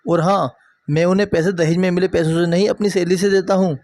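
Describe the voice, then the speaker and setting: Man acting a high-voiced, protective younger brother. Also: steady tone, fast, darkish tone, no echo. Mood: indignant. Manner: earnest, emphatic. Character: loyal, caring younger brother, protective of sister. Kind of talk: Hindi cartoon moral story acting.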